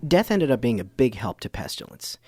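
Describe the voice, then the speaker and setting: A man's voice: medium pitch, sing-song, fairly quick, a little mellow. A man playing an inquisitive radio documentary host, narrating and interviewing with earnest, understated curiosity.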